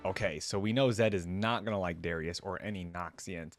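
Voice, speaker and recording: A man with a fairly low voice chats casually as a reaction host. He thinks out loud and tosses informal asides to viewers, with faint music underneath. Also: a little fast. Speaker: host of a game voice-line reaction video.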